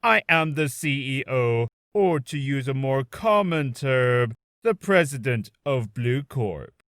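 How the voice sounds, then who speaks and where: A man's voice: medium pitch, lively intonation, slow, a bit bright, dry recording, studio quality. A man playing a pompous, vain corporate tycoon as a comic game villain, grandiose and condescending. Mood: smug. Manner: grandiose, condescending, over-wordy.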